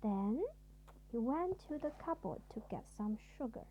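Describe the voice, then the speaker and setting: Female bedtime-story narrator, parental role: higher voice, deliberate phrasing, lo-fi recording with some noise. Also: expressive, warm tone.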